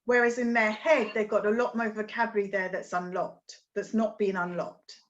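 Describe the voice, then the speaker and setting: A woman speaking as a guest education expert in an interview, passionate and expressive, often checking in with a quick "right?". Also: mid-range voice, lo-fi sound. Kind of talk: conversational interview.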